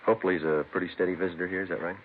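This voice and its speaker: A deep-voiced police detective in an old radio crime drama. He reports in clipped, deadpan fashion. The sound is narrow and muffled, like a 1950s broadcast. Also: rapid-fire, a little hiss or hum. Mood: businesslike. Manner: clipped, deadpan, matter-of-fact. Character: terse police detective narrating his own case.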